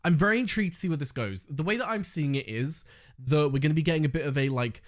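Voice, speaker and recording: A man hosting a casual reaction video, excitable and swearing freely, while recovering from a sore throat. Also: fairly high pitch, quick pace.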